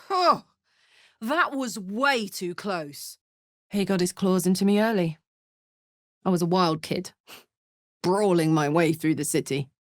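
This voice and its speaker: A woman voicing a brash, warm-hearted, battle-hardened fantasy warrior in a video game, with a blunt, earthy, emotive delivery. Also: on the low side, lively intonation, slow, pauses often, no room sound, clean studio sound. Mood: rueful. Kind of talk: video game character voice acting.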